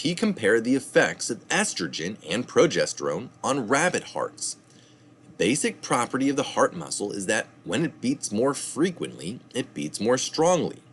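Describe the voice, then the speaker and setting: A man's voice: mid-range voice, a little hiss or hum. A man reading a science article aloud, adding the citations and the odd slip or aside. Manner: reads citations aloud with small slips and asides. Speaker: reader of health articles.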